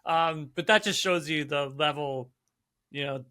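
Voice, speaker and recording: Co-host, 30s male, ex-driver; lively, chatty, filler-heavy, bright tone. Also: a little high, measured pace. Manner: animated, chatty, many "like" and "right?" fillers. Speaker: co-host, former racing driver. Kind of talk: casual podcast chat. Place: indoor room. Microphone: headphones, video call.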